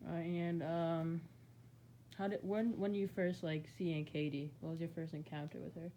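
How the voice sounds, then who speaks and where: A person asking interview questions in a casual, halting way, with fillers and restarts. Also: mid-range voice, flat delivery, dark tone, dull audio, a little hiss or hum.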